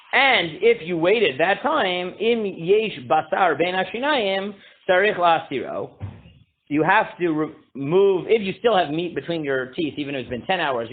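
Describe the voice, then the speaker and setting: A man teaching a casual Torah class, halting with many fillers, reading Hebrew text aloud and translating it. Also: expressive. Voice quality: booming voice. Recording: tinny phone-like line.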